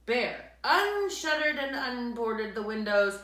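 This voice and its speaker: A woman narrating a children's story aloud in an animated, dramatic way, speaking directly to her listeners. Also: on the high side, slow pace. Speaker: read-aloud storyteller, teacher. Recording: roomy echo, distant microphone.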